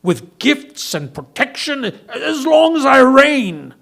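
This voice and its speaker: A man's voice: very high voice, sing-song, slow pace, vocal fry, a bit bright, pitched above the performer's own voice, heavily acted. A man voicing a mighty, proud lion king for a storytelling, bellowing imperiously and desperately. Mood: pleading, solemn.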